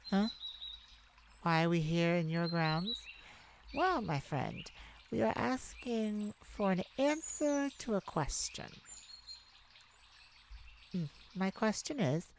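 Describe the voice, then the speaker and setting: A woman playing a tenderhearted mage devoted to a werewolf partner in a romantic ASMR roleplay, soft, affectionate and creaky, with pauses. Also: mid-range voice, animated, a little hiss or hum. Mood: cordial, diplomatic. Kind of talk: romantic ASMR roleplay, one-sided dialogue.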